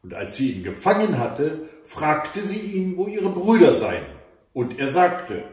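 Slow, echoey male fairy-tale reader. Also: a little high, sing-song, a little mellow. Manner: measured, dramatic storytelling with deliberate pauses. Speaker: storyteller reading fairy tales. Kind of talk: expressive read-aloud fairy tale narration. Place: indoors, book-lined room at home. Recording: echoey room, mic at a distance.

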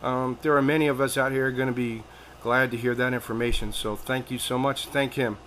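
A man's voice: medium pitch, steady tone, a little fast, a bit bright, slight background noise, rough recording. An American man speaking insistently as an activist caller making a political phone complaint, with some hesitant phrasing.

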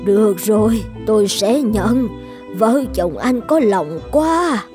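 A woman voicing a kind, selfless elderly village midwife and grandmother in an animated folktale, speaking gently and earnestly. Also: mid-range voice, slow, faint music behind. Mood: kindly.

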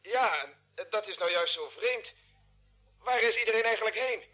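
An actor playing a puzzled, obedient astronaut in an old radio drama. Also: male voice, sits high, expressive, moderate pace, bright, forceful tone, exaggerated voice. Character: puzzled but obedient male astronaut, slightly confused. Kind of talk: 1950s Dutch radio drama acting.

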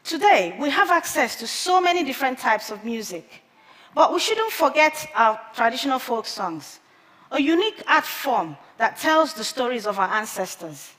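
A woman in her thirties, a native Igbo speaker, speaks slowly and deliberately from a theater stage, her fairly high voice rising and falling in lively swings. Her headset mic picks her up clearly, with a long reverb tail ringing through the large hall. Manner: slow, measured delivery with careful pauses. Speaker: conference speaker. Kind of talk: prepared public talk.

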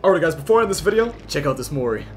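A hoarse young man giving loud, profane commentary over his own gameplay, muttering one moment and yelling the next. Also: on the high side, big pitch swings, very fast, a little hiss or hum. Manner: swings from muttering to yelling, swears a lot. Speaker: gaming YouTuber. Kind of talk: excited live gameplay commentary.

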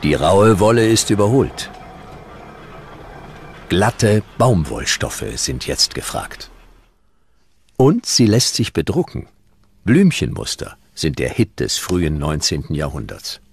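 A man narrating a scripted historical documentary in measured, dramatic short sentences. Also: low-pitched, wildly swooping pitch, creaky, slight background noise. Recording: music behind the narration.

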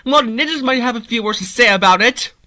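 A man voicing a plucky small young cartoon monster hero, earnest and excitable. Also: extremely high pitch, fast, full character voice. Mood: defiant. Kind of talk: cartoon fan dub acting.

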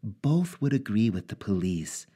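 Dude playing an upset young woman, soft and pleading, pitched up kinda husky. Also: very animated, measured pace, full character voice.

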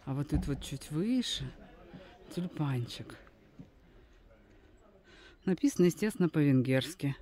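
A woman's voice: sits low, animated, measured pace, pauses often, mellow. A woman giving casual, unscripted travel commentary as a guide, explaining things hesitantly with trailing asides.

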